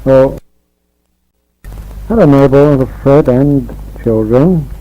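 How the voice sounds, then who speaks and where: A man's voice: medium pitch, extremely slow. An English man in his sixties rambling in a homely way as he records a casual spoken letter to family on an old home tape. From England. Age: 60s. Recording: dull 1960s home reel-to-reel tape.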